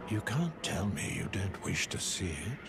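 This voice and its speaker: A man's voice: deep voice, very animated, unhurried, gravelly, background noise, heavily acted. A man playing a fawning, scheming scholar in a video game, obsequious and theatrical, with hesitant politeness and sinister devotion. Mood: sinister pleading. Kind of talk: video game character acting.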